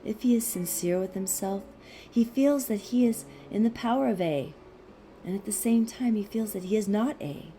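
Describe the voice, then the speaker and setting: A woman reading a philosophical text aloud as scripted narration, in a measured, deliberate way with pauses. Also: medium pitch, dark tone, faint music behind. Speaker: audiobook reader.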